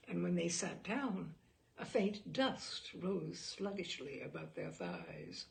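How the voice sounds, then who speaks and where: A woman narrates literary fiction as a storyteller would, phrasing each clause deliberately and dramatically. Her voice is heavily creaked and gravelly, and its pitch moves freely up and down. She leaves expressive pauses as she reads. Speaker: storyteller reading a short story aloud.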